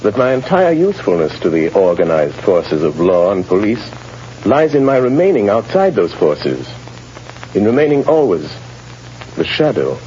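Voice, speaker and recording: An actor playing an urbane, determined gentleman with a secret identity, grave and theatrical in 1930s radio drama style. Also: on the low side, sing-song, moderate pace. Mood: earnest, resolute. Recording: dull, noisy 1930s radio recording.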